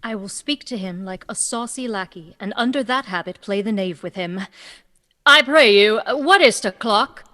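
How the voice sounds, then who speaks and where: A woman's voice: mid-range voice, moderate pace, pushed and bright, in a large hall, close to an everyday voice. A woman playing a witty, lovestruck young woman disguised as a youth in a Shakespearean radio play, eager and playful. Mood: teasing, witty. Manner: eager, playful, theatrical verse-like delivery.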